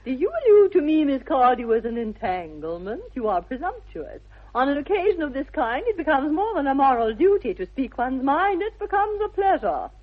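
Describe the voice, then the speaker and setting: A woman's voice: sits high, sing-song, moderate pace. An actress playing a haughty young upper-class English society lady in a 1960s radio comedy, grand, precise and condescending. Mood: haughty, indignant. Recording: thin, phone-like old radio recording.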